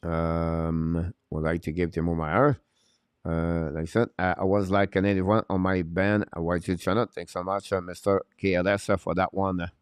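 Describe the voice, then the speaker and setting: Low, clear-voiced man talking hockey. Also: flat delivery, normal speed, dry recording, studio quality. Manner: opinionated, emphatic, repeats points. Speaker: hockey live-stream host. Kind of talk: unscripted sports commentary, answering live chat.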